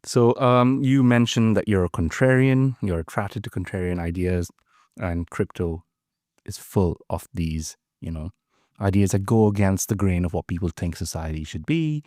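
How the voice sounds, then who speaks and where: Friendly low-voiced man hosting a podcast. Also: moderate pace, a little mellow, no echo, clean studio sound. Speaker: podcast host. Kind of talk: casual interview chat.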